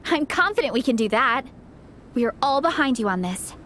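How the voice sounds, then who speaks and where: A woman voicing a sweet, supportive young athlete in a video game, gentle, sincere and encouraging. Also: high voice, expressive, a little fast, a little hiss or hum. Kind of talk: scripted video game voice acting.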